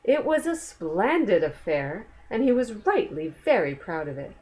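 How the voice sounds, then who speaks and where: A woman's voice: medium pitch, a little hiss or hum, poor audio. A woman reading a children's story aloud, expressively, with chatty asides and questions to the young listener. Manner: expressive, pausing to explain and ask the listener questions.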